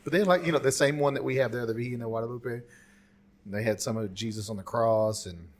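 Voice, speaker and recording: A man's voice: mid-range voice, fast. A man co-hosting a podcast in casual, colloquial banter, given to chuckling and the odd swear word. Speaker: podcast co-host.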